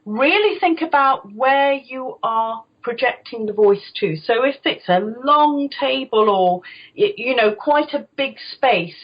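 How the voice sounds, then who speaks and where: A woman's voice: on the high side, animated, a little slow, husky, muffled recording. A middle-aged British woman speaking as an interviewed voice coach, explaining conversationally and checking that she is understood. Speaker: guest voice coach, former opera singer.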